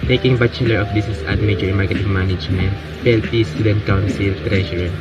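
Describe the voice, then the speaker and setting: Low-voiced young man, loud music. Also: fairly even intonation, quick pace. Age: 20s. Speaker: student council treasurer. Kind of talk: scripted self-introduction. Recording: distant, dull mic under loud music.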